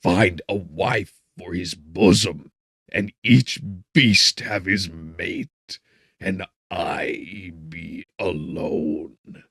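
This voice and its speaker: A man voicing a wretched, vengeful monster in a gothic audiobook, with smothered, rasping breath and grandiose, bitter menace. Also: deep voice, fairly bright tone, no room sound, studio quality, exaggerated voice. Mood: vengeful despair. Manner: slow, drawn-out, grandiose threats. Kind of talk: dramatic gothic audiobook acting.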